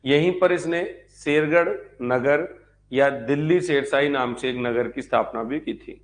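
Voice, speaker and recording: A man's voice: medium pitch, a little slow, a little hiss or hum. Man teaching history, repeating key points. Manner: didactic, repeats points and asks rhetorical check questions. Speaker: history teacher for exam preparation. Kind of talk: live online lecture, dictating notes.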